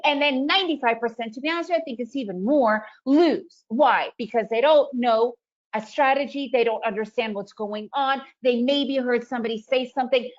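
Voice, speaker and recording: A woman's voice: fairly high pitch, fairly quick. Woman, Russian first language, raised in the United States, webinar presenter, emphatic, rhetorical questions, muffled lo-fi audio. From former Soviet Union, raised in the United States. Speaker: trading presenter.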